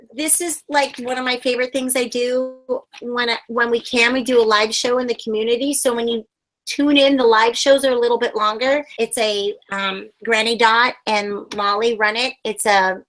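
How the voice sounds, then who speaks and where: A woman's voice: a little high, a bit bright, rough recording. A woman puppeteer talking in a casual interview, enthusiastic and rambling. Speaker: puppeteer guest.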